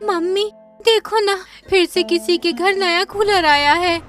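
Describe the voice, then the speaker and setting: A woman's voice: extremely high pitch, slow pace, a bit bright, faint music behind, pitched above the performer's own voice, heavily acted. A woman voicing a small whining poor girl in a cartoon story.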